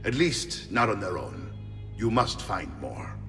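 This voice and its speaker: A hearty older Italian lord in a video game, blustering and animated. His Italian-accented voice rings with long reverb over music. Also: lowish voice, measured pace, full character voice. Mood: puzzled, pondering. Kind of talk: dramatic video game acting.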